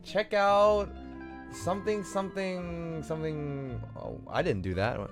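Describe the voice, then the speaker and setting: A man's voice: fairly high pitch, measured pace, faint music behind. A man streaming a game, commenting casually with swearing, repeated words and blurted exclamations. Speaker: game livestreamer reacting to story.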